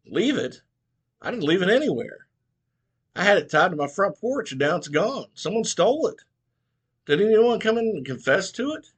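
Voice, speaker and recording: A man's voice: high voice, very animated, moderate pace, slightly rough, voice pushed up, full character voice. A man voicing a skinny, flustered trailer-park man, whiny and worked up.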